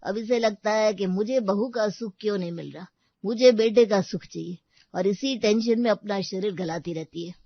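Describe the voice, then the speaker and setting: A woman's voice: medium pitch, moderate pace, no room sound, highs cut off, close to an everyday voice. A woman voicing a considerate, hesitant elderly uncle-in-law in a family audio drama. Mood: worried, explaining. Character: polite, considerate elderly uncle-in-law, gently self-effacing.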